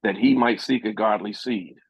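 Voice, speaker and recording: A man reading scripture aloud in a measured, solemn way. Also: on the low side, no echo. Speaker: scripture reader. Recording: muffled dry lo-fi audio.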